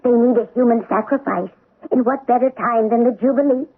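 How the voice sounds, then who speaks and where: A woman's voice: a little high, brisk, a little hiss or hum, close to an everyday voice. A woman playing an anxious, pleading little old lady in a radio drama. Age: older. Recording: tinny band-limited old radio broadcast.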